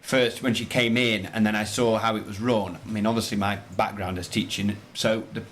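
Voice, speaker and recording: A man with a fairly low voice talks as a teacher being interviewed. He is enthusiastic and a bit rambling, circling back over phrases, with noticeable noise in the background. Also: little pitch movement, moderate pace, fairly bright tone. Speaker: primary school teacher, interview guest. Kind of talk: conversational interview answers.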